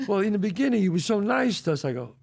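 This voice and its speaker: Older New York host, gravelly, slightly high for a man, animated storyteller. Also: fast talker. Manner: animated storyteller acting out quotes. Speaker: podcast host. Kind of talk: casual storytelling chat.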